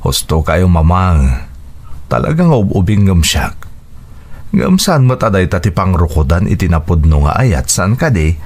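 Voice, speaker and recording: A man's voice: sits low, very animated, measured pace, darkish tone, heavily acted. A male actor with an Ilocano accent playing an earnest, devoted widowed father and reassuring son in a radio drama. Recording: radio drama with background music.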